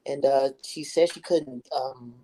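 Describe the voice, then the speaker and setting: A man with a high-toned voice joins a casual chat as a caller. He tells stories with animated flair, popping into higher voices for quotes. He is calling in from a quiet room. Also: unhurried, poor audio. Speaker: guest caller.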